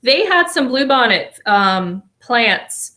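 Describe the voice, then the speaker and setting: A woman, co-hosting a casual chat and telling a story in a relaxed way. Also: on the high side, slow. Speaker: co-host.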